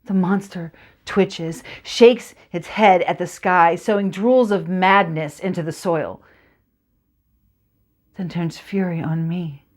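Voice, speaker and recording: A woman performing a monologue as a teenage Kurdish girl, telling her story in a hushed, poetic way. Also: fairly low pitch, slow. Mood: hushed dread. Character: teenage Kurdish girl, haunted former sniper.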